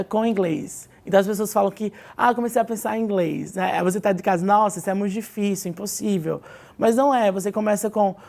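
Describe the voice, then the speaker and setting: A woman's voice: medium pitch, gravelly, mellow. A Brazilian woman, an English teacher, giving advice as a guest in a TV studio interview and often tagging her points with "né?". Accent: Brazilian Portuguese. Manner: explanatory, lots of "né?" tag questions.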